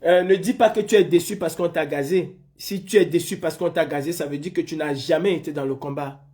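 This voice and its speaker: A man with a somewhat high voice hosts a live stream and takes on a caller in a heated argument. He lectures insistently and says the same point again and again. He comes from Senegal. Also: brisk, darkish tone. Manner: insistent, lecturing, repeats himself. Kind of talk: heated live call-in debate.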